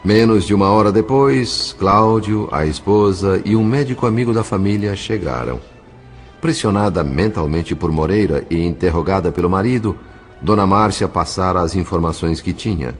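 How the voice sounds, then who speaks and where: Deep-voiced male audio novel narrator. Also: normal speed, soft background music.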